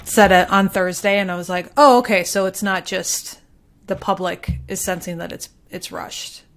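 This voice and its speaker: A woman's voice: lowish voice, fairly even intonation, normal speed, slight background noise. A woman co-hosting a podcast in casual conversation, thinking aloud and hedging her points as she goes. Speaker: podcast co-host.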